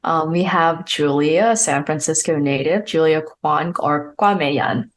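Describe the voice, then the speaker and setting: A woman's voice: deep voice, a little slow. A woman co-hosting a podcast, introducing a guest in a semi-scripted way. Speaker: podcast co-host.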